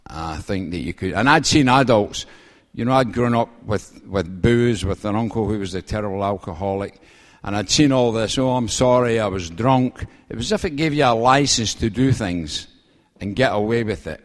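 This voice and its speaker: An older man with a Glasgow-area Scottish accent giving a personal testimony to a gathering, halting and plain-spoken with dry humour, speaking into an event microphone. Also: lowish voice. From Scotland. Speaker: guest main speaker.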